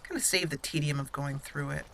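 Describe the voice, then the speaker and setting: A woman's voice: sits low, fairly even intonation, brisk, a bit bright, slight background noise, rough recording. Chatty woman commenting on her game. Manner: chatty and friendly, laughs easily. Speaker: gaming channel host. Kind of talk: roleplay gameplay commentary. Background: game music.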